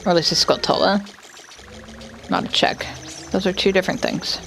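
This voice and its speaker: Woman, game streamer, casual chat, thinks out loud, home setup, faint music behind. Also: lowish voice, fairly even intonation, moderate pace, creaky. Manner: chatty, thinks aloud, many fillers. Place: home, indoors.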